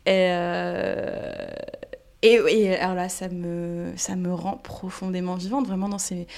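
A woman's voice: on the low side, little pitch movement, takes it slowly, a little mellow. A young French woman speaking thoughtfully in a casual interview, hesitating often with fillers and restarts. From France. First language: Portuguese and French. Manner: reflective, many fillers and restarts. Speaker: interview guest.

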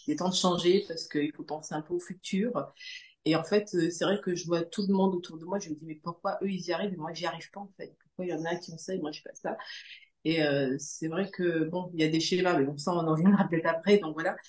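A woman's voice: on the low side, steady tone, fast, a little mellow. A woman in her forties giving a conversational personal testimony as a podcast guest, repeating phrases for emphasis. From Guadeloupe. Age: 40s. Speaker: podcast guest, coaching client. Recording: roomy, echoing sound.

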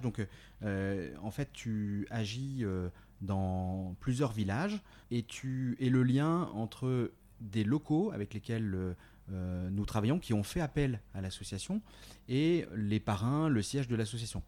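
Male radio host, low mellow voice, hesitant, lots of fillers. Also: animated, unhurried. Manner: hesitant, frequent fillers and restarts. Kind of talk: interviewing a guest.

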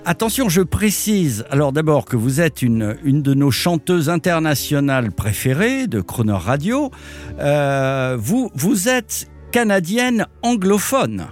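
Animated, unhurried French radio host. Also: male voice, fairly high pitch, faint music behind. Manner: courteous, enthusiastic interviewer. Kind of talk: radio interview.

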